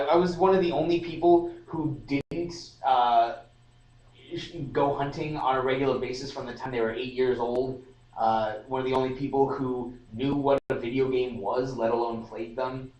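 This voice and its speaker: A man's voice: medium pitch, fairly even intonation, normal speed, a little mellow, highs cut off. A young man in casual podcast discussion, rambling with restarts and fillers. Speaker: podcast host. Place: room at a student society meeting. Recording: roomy live stream audio, distant mic.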